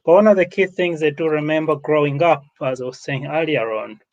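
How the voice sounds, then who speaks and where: A man's voice: on the high side, a little fast, a little mellow, highs cut off. A man with a Ugandan accent speaks off the cuff as host and speaker at an online summit, emphatic and repeating himself for effect.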